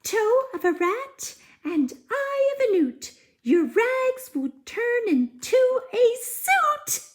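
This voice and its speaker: A woman voicing an excitable, bungling little fairy in a squeaky, singsong storybook voice. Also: slow, full character voice. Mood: excited, incanting. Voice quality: squeaky, thin cartoonish voice. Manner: singsong spell chanting with exclaimed nonsense words. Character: small scruffy bungling dirty fairy, excitable and chirpy. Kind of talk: storybook read-aloud character voice.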